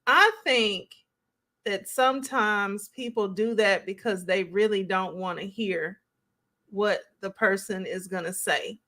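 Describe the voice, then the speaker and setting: A woman's voice: medium pitch, slow, pushed and bright. A woman hosting a live stream, giving casual, conversational reaction commentary as she thinks aloud and responds to chat.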